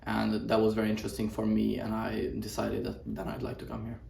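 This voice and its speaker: A man's voice: lowish voice, completely flat delivery, fairly quick, gravelly, a little mellow, slight background noise. A young man from Hungary, a boarding school student, giving earnest interview answers with many "uh" fillers. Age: young adult. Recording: echoey room, mic at a distance.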